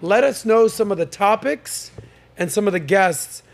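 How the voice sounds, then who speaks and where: A man's voice: high-pitched, lively intonation, unhurried, gravelly, a little hiss or hum. Upbeat man hosting a podcast. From United States. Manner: upbeat, joking, many fillers and restarts. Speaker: podcast host. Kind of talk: casual panel talk show hosting.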